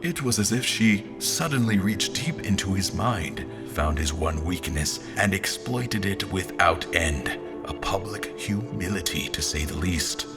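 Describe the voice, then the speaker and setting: Guarded royal officer played by male actor, very low voice, formal literary storyteller for audio drama, music underneath. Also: animated. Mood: reflective, intrigued. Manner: formal, literary, deliberate phrasing. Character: guarded male royal officer in disguise, telling his memories. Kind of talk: scripted first-person audio drama narration. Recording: music behind the voice.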